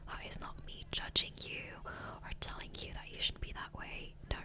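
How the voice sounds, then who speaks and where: A woman whispering gently in a casual, unhurried ASMR ramble, close to the microphone. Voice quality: soft unvoiced whisper. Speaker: ASMR gaming creator. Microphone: close USB condenser microphone.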